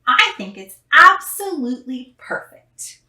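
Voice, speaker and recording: Woman playing a high, dreamy music teacher. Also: sing-song, unhurried, bright, forceful tone, exaggerated voice. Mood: proud, kindly. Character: warm, dreamy female music teacher, proud of flower name. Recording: roomy home camera recording.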